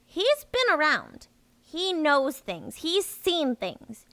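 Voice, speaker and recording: Woman playing an earnest, high, bright-voiced mole worker. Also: huge pitch swings, slow, no room sound, full character voice. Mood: indignant. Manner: earnest, insistent, defensive. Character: earnest, gullible, indignant young female moleperson worker. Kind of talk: audio drama acting.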